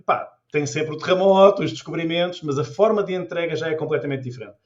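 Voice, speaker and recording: A man's voice: on the high side, quick pace, lo-fi sound, a crowd in the background. A man with a European Portuguese accent, a tour guide chatting as a podcast guest, telling stories with lots of restarts. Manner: animated storyteller with many restarts. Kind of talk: casual interview chat.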